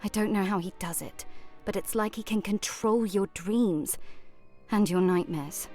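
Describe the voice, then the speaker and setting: A woman's voice: mid-range voice, measured pace, darkish tone, lightly acted. An actress voicing a cursed woman trapped in a haunted house, explaining in a solemn, urgent way. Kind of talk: video game voice acting. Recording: faint game music behind.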